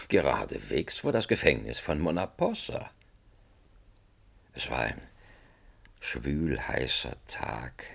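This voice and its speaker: Male narrator, bottom-deep bass, gravelly, slow, wry, deliberate, radio play storytelling. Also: exaggerated voice. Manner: deliberate, drily suspenseful. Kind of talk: scripted radio drama narration.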